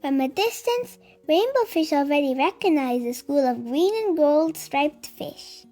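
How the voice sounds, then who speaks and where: A woman reading a children's story aloud in an animated, deliberate storytelling manner. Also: high voice, soft background music. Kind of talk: expressive read-aloud narration for children.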